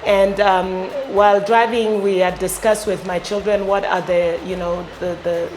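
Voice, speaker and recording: A middle-aged woman from Ghana, a company founder, answering interview questions with many pauses and fillers. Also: medium pitch, little pitch movement, darkish tone, a little hiss or hum, low-quality recording. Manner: halting, many fillers and restarts. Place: showroom with electric bikes. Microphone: lapel.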